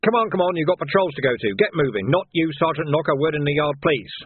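A man playing a husky, tactful police sergeant. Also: mid-range voice, very animated, pitched above the performer's own voice. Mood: brisk, commanding. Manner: measured, politely coaxing. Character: tactful, shrewd male police sergeant, outwardly deferential. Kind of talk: audiobook character voice. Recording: narrow, phone-like band.

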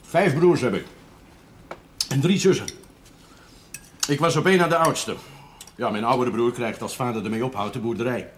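An actor playing a worldly, good-humoured priest in a television drama, talking in an expansive, amiable way. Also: male voice, medium pitch, moderate pace, pauses often, lightly acted. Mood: matter-of-fact. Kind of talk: television period drama acting. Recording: slightly noisy old television soundtrack.

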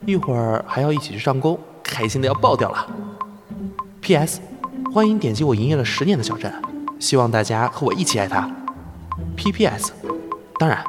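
A man's voice: mid-range voice, brisk, long pauses, over music, lightly acted. A man acting an effusive, devoted young celebrity idol in a Chinese audio drama, boyishly clingy and gushing. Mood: giddy excitement. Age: young adult. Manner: gushing, pleading, swinging from excited to earnest.

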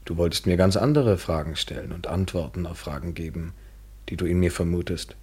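Low, husky, world-weary male lover. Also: a little mellow. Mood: wry, reflective. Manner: measured, ironic, articulate. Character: world-weary, evasive male lover, cynical yet tender. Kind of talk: literary German radio play acting, 1970s.

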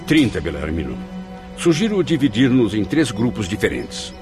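A man's voice: fairly low pitch, sing-song, normal speed, music in the background. An actor playing an earnest, devout penitent male spirit in a radio drama. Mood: calm, friendly.

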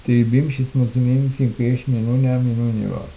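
Man, very dark mellow voice, solemn declamatory prayer recitation, measured phrasing, echoey room. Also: medium pitch, little pitch movement, slight background noise. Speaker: prayer reader. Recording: muffled, echoey room recording.

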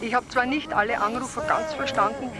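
A woman's voice: high voice, brisk, crowd noise, close to an everyday voice. A woman playing an eager, chatty small-town caller to a night radio show in a radio drama, with an Austrian accent and a hesitant, confiding manner. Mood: shy, admiring. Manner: hesitant, groping for words, confiding.

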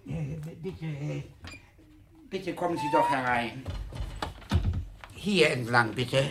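An actor playing an absent-minded, courteous lawyer in a German radio play, hesitant and stammering. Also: male voice, on the high side, takes it slowly, gravelly, noisy background. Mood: nervous, polite. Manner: hesitant, stammering, repeats himself. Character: flustered, absent-minded, courteous male notary.

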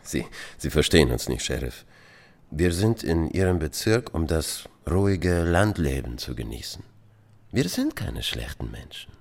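An actor playing a smug, mock-polite interpreter for a gangster in a radio drama, with halting, condescending phrasing. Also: male voice, rumbling low, big pitch swings, creaky, full character voice.

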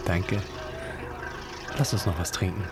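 Low-voiced man on a hotel getaway. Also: a little slow. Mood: intimate. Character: male weekend hotel guest, affectionate partner, quietly insistent. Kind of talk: German radio drama acting. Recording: loud music behind the voice.

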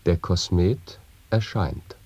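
A man's voice: low-pitched, takes it slowly, darkish tone, slightly rough, dull audio. A man reading experimental sound poetry in a radio play, with terse, clipped interjections.

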